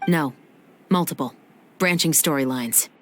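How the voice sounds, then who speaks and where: Low, shrewd patrol captain. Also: female voice, unhurried, slight background noise. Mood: cool, cryptic. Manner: clipped, commanding, cool. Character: stern, shrewd female captain of a security patrol. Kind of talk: anime game voice acting.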